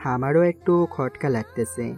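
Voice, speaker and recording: A man playing a calm, calculating mafia king who gives measured orders in an audio story. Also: medium pitch, lightly acted. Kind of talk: amateur Bengali audio-story acting.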